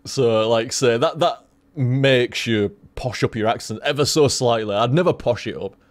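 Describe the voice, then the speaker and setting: A young man with a softened Yorkshire accent, rambling casually to his stream chat, swearing freely and laughing often. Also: mid-range voice, moderate pace, no echo. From Leeds, Yorkshire, England.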